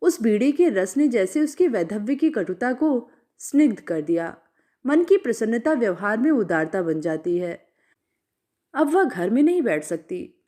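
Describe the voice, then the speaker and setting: A woman storyteller narrating a literary story from a script in an expressive way. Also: a little high.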